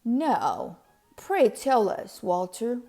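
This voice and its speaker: A woman's voice: on the high side, very animated, drawn-out words, mellow, soft background music, heavily acted. A woman voicing a pompous, commanding storybook Duke in a stately, declaring manner. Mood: curious, formal.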